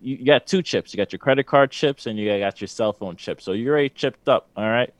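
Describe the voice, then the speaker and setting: A man's voice: mid-range voice, quick pace, dry recording. A man co-hosting a podcast, chatting casually and joking in a laid-back way, often filling with "like". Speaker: podcast co-host. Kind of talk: casual banter.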